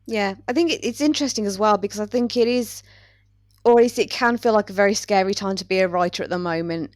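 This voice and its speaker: A woman's voice: medium pitch, quick pace, darkish tone, dry recording, clean studio sound. A woman hosting a podcast, talking casually in a chatty, self-deprecating way. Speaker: podcast host.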